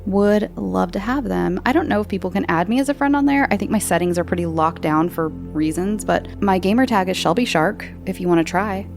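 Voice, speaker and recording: Chatty woman host with a gravelly voice. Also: mid-range voice, fairly quick, few pauses, darkish tone, faint music behind. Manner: chatty, meandering, many fillers and restarts. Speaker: podcast host answering listener questions. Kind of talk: casual rambling chat.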